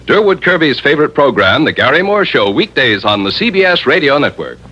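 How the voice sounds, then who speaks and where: A man's voice: a little high, sing-song, brisk, sharp tone, slight background noise. A man announcing credits formally as an old-time radio announcer, on a vintage broadcast recording with theme music. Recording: dull, band-limited vintage radio broadcast.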